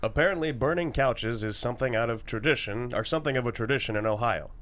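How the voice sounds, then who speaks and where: An actor playing a blithe morning television host in a comedy script read. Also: male voice, medium pitch, fast, a bit bright, close to an everyday voice. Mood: breezy.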